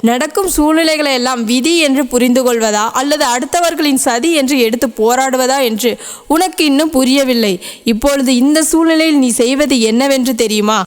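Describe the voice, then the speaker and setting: A loving, ageless mother goddess, voiced by a woman, speaks in a high, tender voice to a devotee she calls her child. Her words are solemn and reassuring, as in a devotional message. A long reverb rings around every phrase, as if in a vast hall. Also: brisk, smooth, lightly acted.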